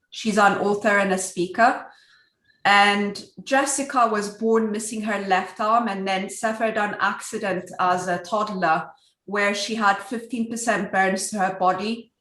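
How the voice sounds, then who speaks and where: Panel guest, woman from Ethiopia, earnest and strong-voiced, mid pitch; echoey video-call room. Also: fairly bright tone. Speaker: guest speaker. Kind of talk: virtual panel discussion.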